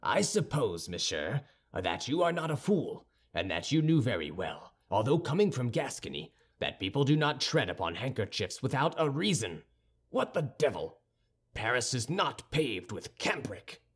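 A man voicing a haughty, sardonic young musketeer in an audiobook, formal, measured and condescending. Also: medium pitch, sing-song, husky. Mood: haughty, reproachful. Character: cool, haughty young musketeer with churchly airs, dryly sardonic. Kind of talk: audiobook character dialogue.

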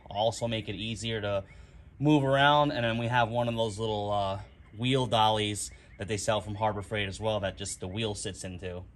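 Bright-voiced man narrating a driveway DIY job. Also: lowish voice. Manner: relaxed, plain-spoken, modest. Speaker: DIY channel host. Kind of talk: casual how-to narration. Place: outdoors on a concrete driveway. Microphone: camera mic, one hand on camera.

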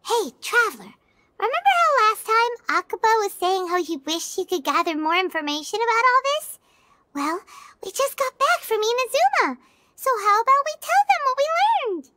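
A woman's voice: big pitch swings, moderate pace, pushed and bright, dry recording, clean studio sound, heavily acted. A woman voicing a tiny, chatty, childlike fairy companion with an exaggerated, chirpy delivery in a game. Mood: curious. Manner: squeaky, exaggerated, chirpy, talks in third person.

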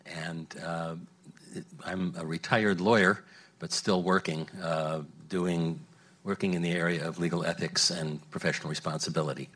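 A man in his late seventies from the Los Angeles area, a retired lawyer speaking on a panel in a measured, thoughtful way. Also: low voice, fairly even intonation, fairly bright tone. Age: 70s. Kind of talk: panel discussion. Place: large conference stage. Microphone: handheld mic.

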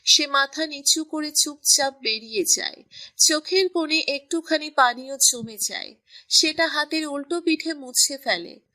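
A woman's voice: high-pitched, measured pace, bright, forceful tone. A woman reading scripted narration for a romantic story as its storyteller.

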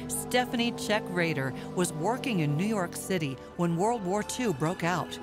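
A woman with a notably low voice narrates in a measured, formal voice-over. Clear music runs underneath her. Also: animated. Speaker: documentary narrator. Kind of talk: scripted documentary narration.

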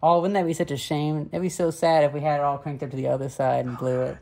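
A woman's voice: low-pitched, level tone, quick pace, dark tone. A young adult woman chatting casually and off the cuff, musing aloud as she demonstrates things. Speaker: hobbyist vlogger demonstrating old light bulbs. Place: living room at home, indoors. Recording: clear background noise, home camera recording.

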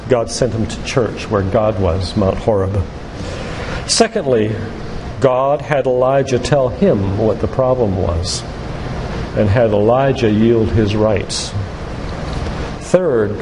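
An older man giving a sermon-like lecture in a church, speaking in an earnest, deliberate, emphatic way with repetition. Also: mid-range voice. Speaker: doctor and guest church speaker. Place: church, live talk. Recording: echoey hall with loud background noise.

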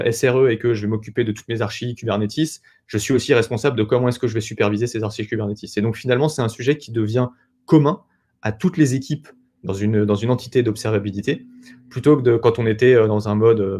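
A man's voice: lowish voice, darkish tone. A young man giving an informal technical webinar presentation as a sales engineer, explaining conversationally with rhetorical questions over a video call. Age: young adult. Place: small indoor room on a video call.

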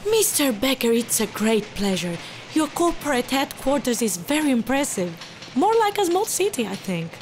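A woman's voice: high-pitched, sing-song, normal speed, slight background noise. An actress playing a friendly female scientist in an audio drama. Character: warm Italian female scientist.

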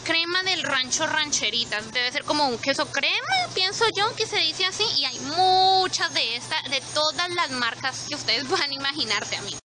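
A woman's voice: high-pitched, normal speed, few pauses, over music, rough recording. A young woman, chatting to viewers as she films her walk through a supermarket. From Colombia. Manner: chatty, expressive, addresses viewers directly. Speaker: vlogger. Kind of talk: casual walk-through vlog. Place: supermarket aisles, indoors.

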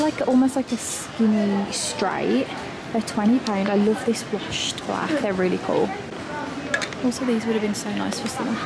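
A woman's voice: mid-range voice, creaky. A young woman in her twenties, chatting and gushing about clothes as she films a shopping vlog on a handheld camera inside a large store. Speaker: fashion vlogger. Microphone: handheld camera mic. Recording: loud store background noise.

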